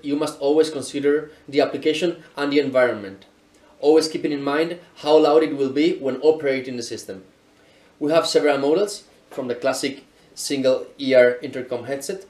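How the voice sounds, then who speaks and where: A man's voice: medium pitch, darkish tone. A man in his thirties from Spain presenting equipment in a careful, explanatory scripted style, filmed in an indoor showroom with a camera mic at medium distance. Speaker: product presenter.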